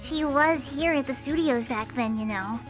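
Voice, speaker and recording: A woman voicing an earnest, expressive young woman in an amateur fan dub of a mystery game. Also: high-pitched, moderate pace, over music, close to an everyday voice. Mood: confiding.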